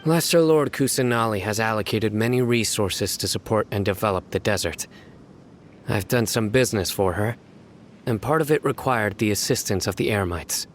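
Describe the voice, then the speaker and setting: An actor playing a stern, reserved young law officer in a video game, speaking in a serious, measured, deadpan way. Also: male voice, on the low side, slight background noise, natural voice. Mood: stern, businesslike. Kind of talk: video game dialogue acting.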